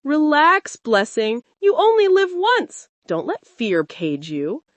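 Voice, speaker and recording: A woman's voice: high voice, sing-song, takes it slowly, no room sound, pitched above the performer's own voice, exaggerated voice. A woman voicing a cocky, persuasive teenage schoolgirl who leads her friends, in a confident, coaxing storybook manner.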